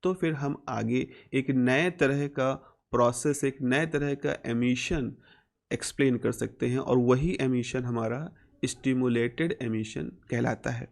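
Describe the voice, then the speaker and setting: Male Indian physics lecturer, mid-pitched, instructional delivery, explains step by step and repeats key terms. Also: a little slow, gravelly. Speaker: physics teacher.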